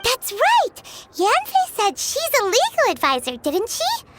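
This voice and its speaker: A woman's voice: very high-pitched, wildly swooping pitch, measured pace, very noisy, full character voice. An actress voicing a tiny, chirpy, nosy female companion sprite in a video game who refers to herself in the third person. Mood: chirpy, curious. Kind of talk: game cutscene voice acting. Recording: game audio with loud music bed.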